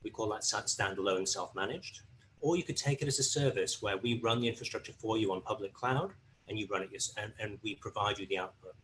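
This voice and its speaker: Steady man explaining a tech product. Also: lowish voice, brisk, a little hiss or hum, rough recording. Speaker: webinar presenter. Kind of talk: scripted product overview.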